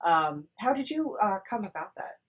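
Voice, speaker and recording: A woman's voice: mid-range voice, sing-song, normal speed, breathy edge. Hesitant woman hosting a casual interview podcast. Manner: hesitant, encouraging.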